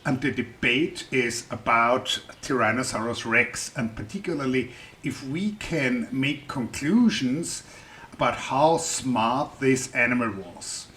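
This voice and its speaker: A man's voice: medium pitch, slow pace, pushed and bright, a little hiss or hum. Male science YouTuber with a German accent, mid-range voice, loose chatty delivery that often circles back mid-sentence. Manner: chatty, thinking aloud with restarts. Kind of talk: explaining research for the general public.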